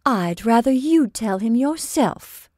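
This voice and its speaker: Gentle hesitant woman, read by a woman, soft-spoken, sometimes breathy, dry sound. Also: on the high side, lively intonation, takes it slowly, no room sound, voice pushed up.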